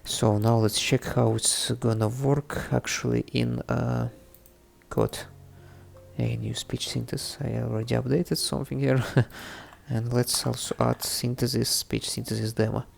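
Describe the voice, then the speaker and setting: A native Russian-speaking man with a Russian accent presents a casual coding tutorial, thinking aloud in a halting way. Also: mid-range voice, flat delivery, creaky. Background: background music, typing on keyboard.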